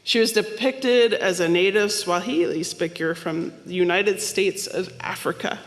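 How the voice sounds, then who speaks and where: A woman's voice: fairly low pitch, steady tone, measured pace. A woman minister giving a sermon, telling stories in a conversational way with small asides. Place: church sanctuary. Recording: long hall reverb.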